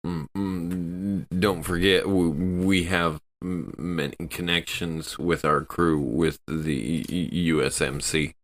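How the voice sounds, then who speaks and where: A man playing a suspicious, gruff spaceship crewman in improvised sci-fi role-play, halting and stammering as he talks. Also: low voice, steady tone, fairly bright tone, full character voice. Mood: wary.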